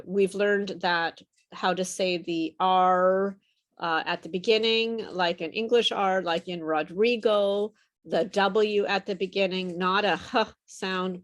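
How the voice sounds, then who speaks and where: Woman, pronunciation teacher, unhurried delivery, mid-pitched, patient, encouraging. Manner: patient, encouraging, explains with repeated example words. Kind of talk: online group pronunciation lesson.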